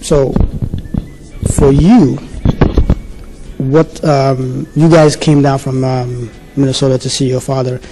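A man's voice: mid-range voice, level tone, takes it slowly, pauses often, noisy background. A man asking sports interview questions in a friendly, conversational way into a handheld mic. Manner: friendly, conversational, hesitant fillers.

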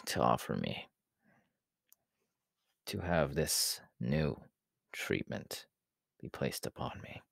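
Male actor as ancient demon king, extremely low voice, slow haughty delivery, menacing and world-weary, ASMR roleplay. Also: big pitch swings, a bit bright, no room sound, studio quality, full character voice. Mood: scheming, guarded. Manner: slow, deliberate, haughty, often sinking into breathy whispers. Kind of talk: ASMR audio roleplay acting.

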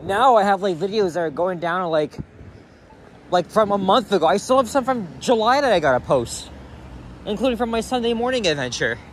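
A man's voice: high-pitched, expressive, fairly quick. A young man rambling casually as he vlogs on a walk outdoors with a handheld camera. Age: young adult. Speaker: vlogger. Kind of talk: casual walking vlog. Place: outdoors on a city sidewalk. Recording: outdoor street traffic noise. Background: cars and street ambience.

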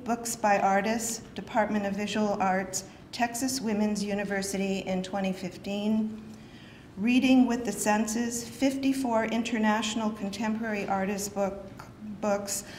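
A woman's voice: mid-range voice, a little hiss or hum. A middle-aged woman hosting the event, reading a formal introduction at a podium, with brief unscripted asides. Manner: reads a list of titles, with brief asides. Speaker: event host introducing the speaker. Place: lecture hall with wood paneling. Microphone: podium microphone. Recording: echoey hall, faint ambience.

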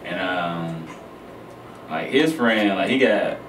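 A man's voice: sits low, animated, slow. A young man from Detroit talking casually in an interview, easygoing and conversational. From Detroit, United States. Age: young adult. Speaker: guest, photographer and musician. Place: small radio studio. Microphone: boom-arm studio microphone. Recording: roomy, distant mic, faint noise.

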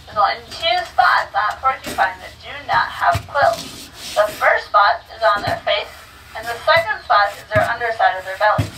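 A woman's voice: a little high, animated, normal speed. A woman guiding a zoo train tour outdoors, quizzing kids and coaxing animals out into view. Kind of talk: guided tour talk to riders. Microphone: handheld microphone over a train speaker, heard from the camera. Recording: distant voice with outdoor noise.

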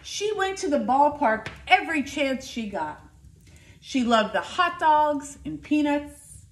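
A middle-aged woman reading a children's picture book aloud in an expressive, deliberate way, stressing key words. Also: high voice. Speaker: school nurse reading to children. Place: indoor living room by a fireplace. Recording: echoey living room.

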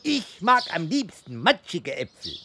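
A woman voicing a cheeky young boy earthworm in a children's cartoon, boyish and blunt with a creaky edge. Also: fairly low pitch, big pitch swings, a little slow, very noisy, heavily acted. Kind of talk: children's animated audio-story acting.

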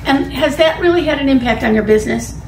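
A woman's voice: high-pitched, fast talker, a little hiss or hum. An older woman interviewing a guest, conversational and thinking aloud as she asks questions. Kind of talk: panel interview. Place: meeting room in front of a large screen. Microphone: far camera mic. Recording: echoey room from far off.

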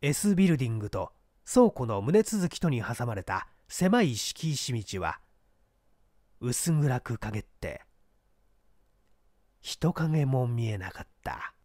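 A man reading mystery fiction as an audiobook narrator, with deliberate, theatrical and suspenseful delivery. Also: mid-range voice, big pitch swings, darkish tone, dry recording, clean studio sound. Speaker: audiobook narrator, stage actor.

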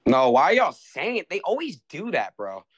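A man's voice: a little high, huge pitch swings, moderate pace, husky. A young man in his twenties livestreaming from a bedroom, talking restlessly to his chat and friends with lots of swearing. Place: bedroom in a house.

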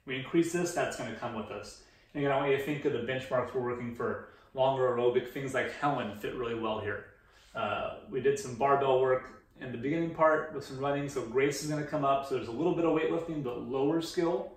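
A man's voice: mid-range voice, fairly quick. A man in his thirties giving an informal, explanatory presentation as a fitness coach in a conversational way. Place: indoor room beside a presentation screen. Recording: roomy echo, distant microphone.

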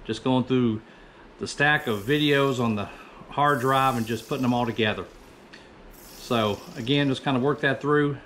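A man's voice: mid-range voice, moderate pace, a bit bright, a little hiss or hum. A man in his fifties giving a casual, rambling voice-over as a woodworker, often correcting himself. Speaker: woodworker presenting own project. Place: small indoor woodworking workshop.

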